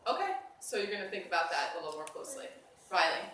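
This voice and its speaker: A woman's voice: mid-range voice, expressive, fast talker, fairly bright tone. A woman teacher leading a classroom read-aloud discussion, encouraging and prompting with questions. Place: indoors, classroom. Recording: echoey room from far off, faint noise.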